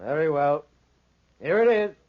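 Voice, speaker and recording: High-voiced, wheedling radio schemer. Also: male voice, very animated, a little slow. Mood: insistent. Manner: wheedling, insistent. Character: scheming man coaxing a child with bribes. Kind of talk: 1940s radio acting. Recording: muffled 1940s radio recording.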